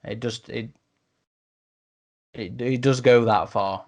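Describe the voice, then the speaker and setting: A man's voice: medium pitch, fairly even intonation, slow pace. A man hosting a podcast discussion in a casual way, repeating words as he works through a thought. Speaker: podcast host.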